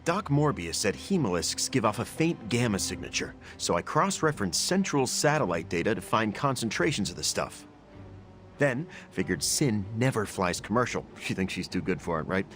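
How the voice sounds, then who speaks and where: Chatty, brainy lower-voiced hero. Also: male voice, big pitch swings, moderate pace, fairly bright tone, faint music behind. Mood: eager, wry. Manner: chatty explainer, wry. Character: brainy, eager male journalist superhero, casually confident. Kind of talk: video game cutscene acting.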